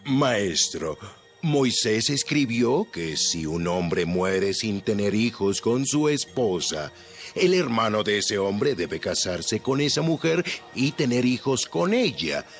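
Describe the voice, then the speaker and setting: An actor playing a learned skeptic who lays out a point of law in a deliberate, lecturing way. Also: male voice, on the low side, sing-song, full character voice. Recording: voice over light background music.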